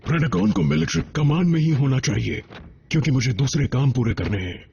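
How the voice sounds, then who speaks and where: A cold, logical robot scientist from a cartoon, played by a man, with a dark, gravelly voice. He speaks formally and without feeling, in a slightly dull, noisy recording. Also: medium pitch, a little fast, close to an everyday voice. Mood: cold, matter-of-fact. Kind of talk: dubbed cartoon acting. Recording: dull band-limited sound, faint noise.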